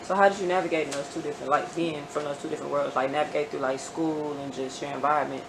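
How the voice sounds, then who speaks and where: A woman's voice: low-pitched, steady tone, quick pace, noisy background. A woman hosting a casual interview, upbeat and encouraging, with frequent short backchannel replies. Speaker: host and channel owner.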